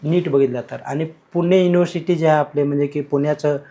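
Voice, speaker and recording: Man from Bihar, fairly high voice, enthusiastic teacher, explains step by step, mixes Hindi and Marathi. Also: steady tone, brisk, dark tone. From Bihar, India. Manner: explains by breaking down verses, switches between Hindi and Marathi. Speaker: guest teacher, academy cofounder. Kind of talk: podcast conversation.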